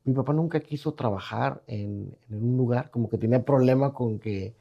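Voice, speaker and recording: A man's voice: mid-range voice, moderate pace, gravelly, dull audio. A middle-aged man with a Mexican Spanish accent, a guest on a podcast in his studio, chatting casually with frequent restarts and tag questions. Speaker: guest entrepreneur. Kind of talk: casual interview talk.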